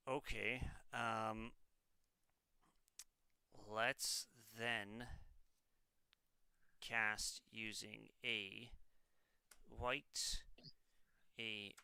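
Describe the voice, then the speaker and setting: Slow, gravelly man thinking aloud. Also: fairly low pitch, sharp tone, no echo. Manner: hesitant, muttering to self while deliberating. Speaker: tabletop card game player. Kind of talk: casual live play, thinking aloud through moves. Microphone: close personal mic on an online video call.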